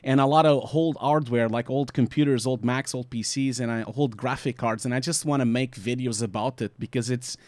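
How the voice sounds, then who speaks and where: A man's voice: medium pitch, fairly even intonation, normal speed, hardly any pauses, a little mellow, no room sound. A man in his forties with a Portuguese accent, chatting as a podcast co-host in an informal, rambling, joking way. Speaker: podcast co-host, visual effects supervisor. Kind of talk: casual podcast chat.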